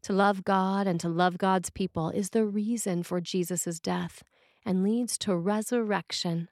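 A woman reading a scripted sermon in a measured, deliberate way. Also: mid-range voice, fairly even intonation, a little mellow, no echo, studio quality. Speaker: sermon reader.

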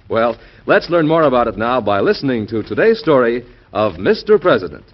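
A man hosting a radio drama in a folksy, rhetorical 1940s presenting style. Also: medium pitch. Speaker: host and narrator of a radio drama. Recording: muffled vintage radio recording.

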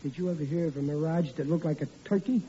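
A man playing a bumbling comic father who stammers and blusters, his voice high but mellow. The sound is dull and lo-fi with faint hiss, like an old radio play. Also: quick pace, natural voice. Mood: blustering. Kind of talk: 1940s radio acting.

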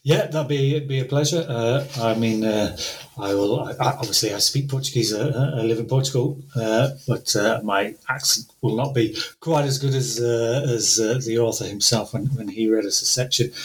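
A man's voice: mid-range voice, normal speed, rough recording. A man from the UK, a translator speaking hesitantly with many fillers and restarts, then reading a story aloud.

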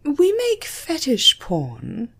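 Audiobook role: confident British woman lecturer, acted by a narrator, amused, commanding, slow and lilting. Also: high voice, wildly swooping pitch, voice pushed up, full character voice. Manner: unhurried, matter-of-fact, teasing. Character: bold, amused, commanding female university lecturer.

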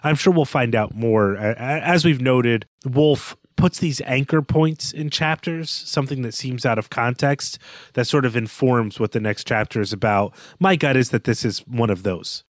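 A man's voice: medium pitch, normal speed, dry recording. A man co-hosting a book podcast, talking through a novel in a thoughtful, hedging way with frequent restarts. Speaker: podcast co-host. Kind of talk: casual book discussion.

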